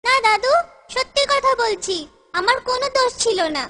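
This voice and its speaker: A woman's voice: extremely high pitch, normal speed, pushed and bright. A woman voicing an insistent, pleading little village boy in a comic cartoon. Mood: earnest, downcast. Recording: faint music behind the voice.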